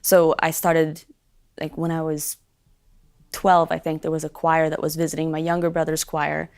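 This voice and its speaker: Gravelly-voiced young American woman, chatty. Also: low-pitched, normal speed. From Sweden. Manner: animated, chatty, many fillers and restarts. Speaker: guest musician. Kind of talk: casual interview chat.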